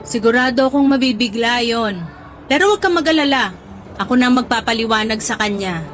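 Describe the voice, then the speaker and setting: A woman acting a doting middle-aged mother in a radio drama, teasing and gently scolding her grown son. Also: on the high side, expressive, a little fast, slight background noise, natural voice. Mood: fond exasperation.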